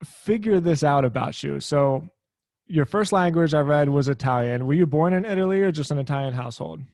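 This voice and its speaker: A man's voice: fairly high pitch, brisk, gravelly, dry recording, studio quality. Friendly male host from Philly, casual and laughing easily, remote interview over a video call. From Philadelphia area. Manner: relaxed and friendly, laughs often. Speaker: podcast host.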